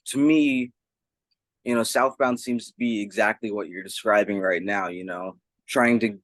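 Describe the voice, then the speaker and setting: Casting: male interviewer, lowish voice, measured and reflective, very dry recording. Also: no room sound. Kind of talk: thoughtful music interview.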